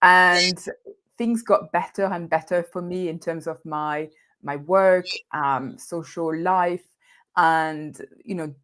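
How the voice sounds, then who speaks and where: A woman from France with a French accent, a coach speaking as an interview guest, animated and often laughing as she talks. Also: on the low side, takes it slowly. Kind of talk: conversational interview.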